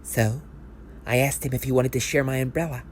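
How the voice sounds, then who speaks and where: A man's voice: medium pitch, steady tone, fairly quick, a little hiss or hum. A man narrating an audio play as a conversational, scripted dramatic monologue.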